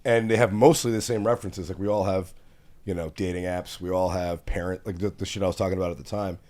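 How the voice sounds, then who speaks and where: Man, podcast host, very low voice, fast talker, joking, teasing. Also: fairly even intonation, a little mellow. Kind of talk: casual podcast chat.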